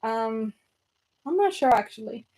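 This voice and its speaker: A woman's voice: a little high, animated, a little slow, dark tone. A young woman with a Venezuelan accent chatting casually with viewers as she sorts her bookshelves, rambling with many fillers. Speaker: book vlogger. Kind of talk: casual chat with live viewers. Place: home room by bookshelves.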